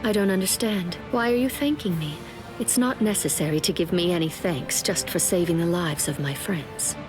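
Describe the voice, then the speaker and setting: An actress playing a composed, quietly detached healer captain in an anime game, polite and formal. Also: female voice, on the low side, moderate pace, natural voice. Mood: serene, detached. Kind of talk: anime game cutscene acting. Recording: loud music behind.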